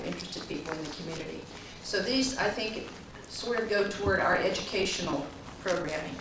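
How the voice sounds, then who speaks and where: Woman, hoarse from a cold, informative public talk, echoey distant recording, noisy. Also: lowish voice. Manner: informative, with frequent ums. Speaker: county office director. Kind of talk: public presentation at a meeting. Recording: echoey room, distant mic, background noise.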